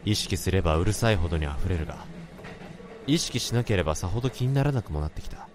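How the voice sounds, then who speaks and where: A man playing a brooding, deep-voiced office worker. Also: expressive, quick pace, background noise. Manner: somber, introspective, restrained. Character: brooding male office worker who hears thoughts. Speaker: narrator and lead. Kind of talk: radio drama acting and narration. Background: music.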